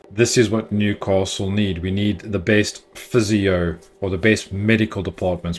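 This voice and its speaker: A man hosting a football fan channel, giving chatty, opinionated news commentary with the odd filler. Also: sits low.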